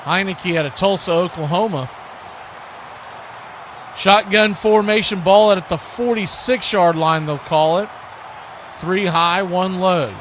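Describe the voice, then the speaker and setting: A man's voice: sits high, slow pace, long pauses, fairly bright tone, slight background noise. A man hosting a live football stream, mixing play-by-play commentary with casual chat in folksy, colloquial phrasing.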